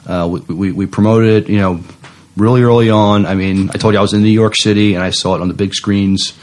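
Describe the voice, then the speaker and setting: A man's voice: on the low side, steady tone, a little fast, a little mellow. A man co-hosting a podcast, giving casual news commentary. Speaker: podcast co-host. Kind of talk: casual news commentary, some reading aloud.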